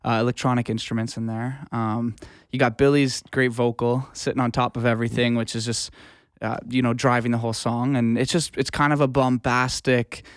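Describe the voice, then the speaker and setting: A man's voice: medium pitch, flat delivery, a little fast, smooth, no room sound, clean studio sound. A man giving casual, rambling explanations as a music producer guest in an interview.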